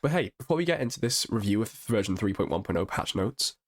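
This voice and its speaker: A man with a slightly low, croaky voice, a bit sick, talks through a game's patch notes. His delivery is casual and enthusiastic, full of little restarts and fillers as he thinks aloud. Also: animated, fast. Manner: chatty and enthusiastic, with ums and restarts. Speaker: gaming channel host. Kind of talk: casual game patch review.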